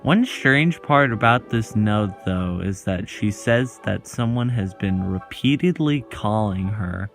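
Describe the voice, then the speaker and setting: A man narrating a scripted video essay as its host, pausing dramatically between short phrases. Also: lowish voice, lively intonation, soft background music.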